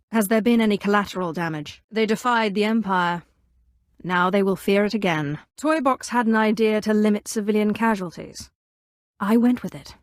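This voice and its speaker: A woman's voice: mid-range voice, no echo, natural voice. An actress voicing an imperious, coolly menacing female Sith in a video game, with a clipped, commanding delivery and dry sarcasm. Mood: coldly menacing. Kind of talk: scripted game dialogue, standalone voice lines.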